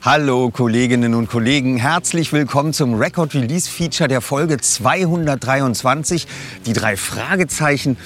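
A man's voice: medium pitch, normal speed, long pauses, fairly bright tone, soft background music. A man presenting scripted material in an upbeat, informal way, speaking directly to his listeners as a host. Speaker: podcast host and ad reader.

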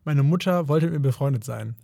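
A man's voice: medium pitch, fairly quick, creaky, dark tone, dry recording, studio quality. A man co-hosting a casual chat, chatty and playful, laughing often.

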